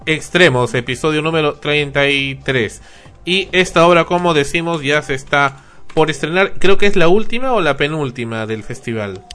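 Bright-voiced radio host. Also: male voice, on the high side, moderate pace, faint music behind. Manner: repeats himself, joking. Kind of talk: casual radio chat.

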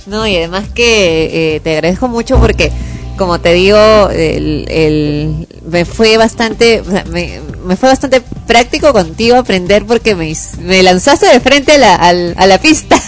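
Woman, radio co-host, very slow speech, giggly, faint music. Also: mid-range voice, expressive. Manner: laughs often, hesitant restarts. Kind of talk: casual radio chat.

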